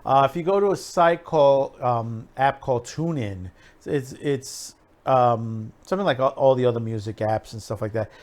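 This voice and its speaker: A man with a mid-pitched voice hosts his own online show. He talks casually to his viewers, offering opinions in a halting way and circling back to repeat phrases as he finds his wording. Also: darkish tone. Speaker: YouTube show host.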